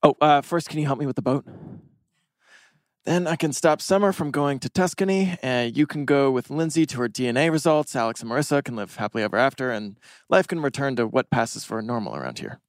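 A man's voice: medium pitch, animated, brisk, no echo, studio quality, natural voice. A man playing a nerdy, wry, hopelessly romantic teenage boy in a drama table read, hesitant, wordy and joking.

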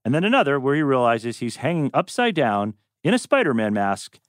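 A man reads out script directions as narrator. His medium-pitched voice moves up and down with lots of expression, and the sound is dry and close. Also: big pitch swings, a little fast, dry recording. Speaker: narrator reading stage directions. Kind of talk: animated script reading.

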